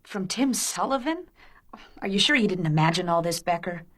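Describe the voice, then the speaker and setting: A woman playing a sharp-tongued, unflappable newspaper editor in a radio crime drama, brisk and sardonic. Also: fairly low pitch, lively intonation. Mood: questioning, disbelieving.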